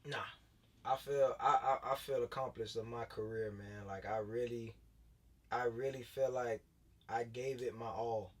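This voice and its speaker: A man's voice: mid-range voice, steady tone, measured pace, recorded from a distance. A man in his late twenties, a former football player turned coach, telling his story in loose casual talk, full of fillers and restarts. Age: late 20s.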